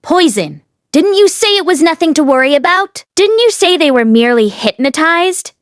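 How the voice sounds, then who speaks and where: A woman's voice: high-pitched, very animated, moderate pace, no echo, studio quality, pitched above the performer's own voice. A woman voicing an anxious, devoted young male disciple in an audiobook, speaking with urgent emotion. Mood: alarmed, accusing.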